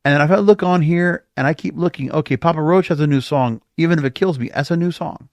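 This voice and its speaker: A man's voice: on the high side, quick pace, dry recording. A man hosting a podcast, giving casual solo commentary in an emphatic way, full of rhetorical questions. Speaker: podcast host.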